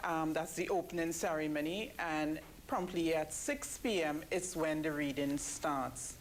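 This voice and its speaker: A woman giving measured, explanatory answers as an interview guest. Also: low-pitched. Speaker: interview guest, event committee member. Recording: clearly noisy background ambience.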